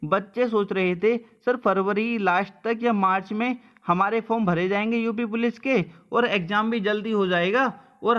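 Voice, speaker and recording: A man's voice: high voice, fairly bright tone. A man giving an informal update to students as a coaching teacher, blunt and colloquial, often checking they follow with short tags.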